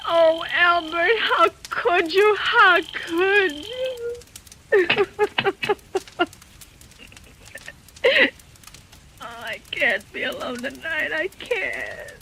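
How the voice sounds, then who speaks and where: An actress playing a terrified, imperious invalid wife in an old radio thriller, halting and melodramatic. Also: female voice, extremely high pitch, animated, sharp tone, full character voice. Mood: despairing, tearful. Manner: nervous, halting, demanding, melodramatic. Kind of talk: 1940s radio thriller acting. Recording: noisy old radio drama recording.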